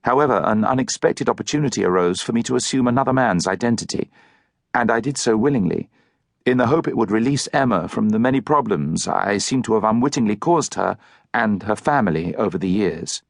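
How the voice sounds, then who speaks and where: A man's voice: lowish voice, lively intonation, brisk, vocal fry, no echo, clean studio sound. A man voicing an earnest, polite young Englishman, speaking formally and articulately in an audiobook novel. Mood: heartfelt, solemn.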